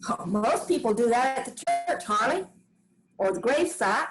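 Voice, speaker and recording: An actress reading the part of a sharp-tongued farm matriarch in a staged play reading, curt and defiant. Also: female voice, on the high side, animated, normal speed, music in the background, lightly acted, rough recording. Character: hard-bitten farm matriarch, older woman, sharp-tongued.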